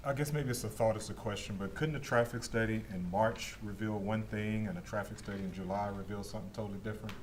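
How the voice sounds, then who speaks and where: A man's voice: fairly low pitch, fairly even intonation, quick pace, hardly any pauses, a little hiss or hum. A man, a commissioner, thoughtfully raising questions and concerns at a public meeting.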